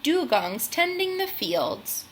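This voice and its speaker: A woman's voice: very high-pitched, big pitch swings, takes it slowly, a little hiss or hum, voice pushed up, exaggerated voice. A woman voicing a small, cheerful but timid male penguin in a children's storybook.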